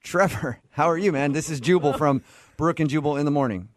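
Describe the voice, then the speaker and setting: A man's voice: fairly high pitch, brisk, dry recording, clean studio sound. A man hosting a radio show, chatting casually and probing a caller in a chummy, conversational way. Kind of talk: casual prank-style phone interview banter. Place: radio studio.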